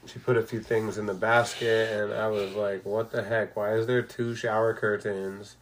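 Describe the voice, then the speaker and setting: A middle-aged man chatting casually in a bedroom, telling rambling stories in a relaxed way. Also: on the low side, normal speed, a little hiss or hum. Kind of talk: casual chat.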